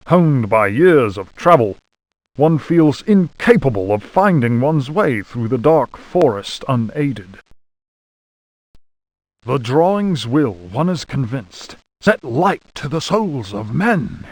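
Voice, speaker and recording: An actor voicing a pompous, fastidious aristocratic explorer of the 1820s, reading a travel journal in a mannered, formal way for a horror game. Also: male voice, medium pitch, no echo, full character voice.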